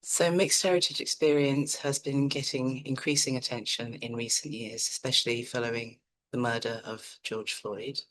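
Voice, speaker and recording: A middle-aged woman from Nigeria, a psychotherapist presenting an online seminar in a calm, measured, reflective way. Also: extremely low pitch, slight background noise, poor audio.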